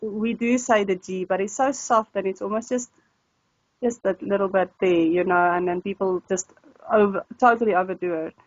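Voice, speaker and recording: A woman's voice: mid-range voice, steady tone, normal speed, no room sound, highs cut off. A woman from South Africa whose first language is Afrikaans, talking casually as a language tutor in an interview, with an Afrikaans accent and frequent laughs and restarts. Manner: chatty, laughs often, many fillers and restarts.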